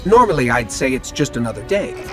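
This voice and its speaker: Lively, keen male game scout played by a man. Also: medium pitch, a little fast, close to an everyday voice. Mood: intrigued, briefing. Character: keen, wry male scout briefing his comrades. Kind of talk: video game cutscene briefing. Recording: music behind the voice.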